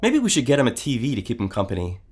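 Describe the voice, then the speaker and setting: Actor as a breezy, lively-voiced male roommate. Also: mid-range voice, rapid-fire, heavy background noise. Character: breezy, teasing male roommate, unfazed by ghosts. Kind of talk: audio drama dialogue.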